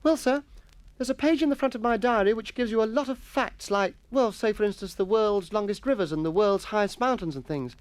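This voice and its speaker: A man's voice: high-pitched, fairly quick, clean tone, no echo, voice pushed up, close to an everyday voice. A man reading a story, voicing an eager, earnest schoolboy who keeps pleading with his teacher. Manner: breathless, earnest pleading with sir. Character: eager chattering schoolboy Jennings, cheeky. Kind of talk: audiobook character voice.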